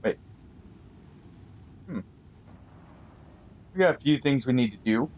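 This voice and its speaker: A man streaming a game live, thinking aloud with pauses and fillers and chatting to his viewers. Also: medium pitch, animated, a little mellow, highs cut off. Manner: friendly sign-off to viewers. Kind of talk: live gameplay commentary.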